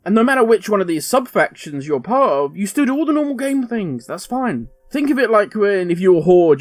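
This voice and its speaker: High-voiced man musing over faint music. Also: darkish tone, no echo. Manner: musing, conversational, thinking aloud with fillers. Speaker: gaming commentator. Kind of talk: casual speculative monologue.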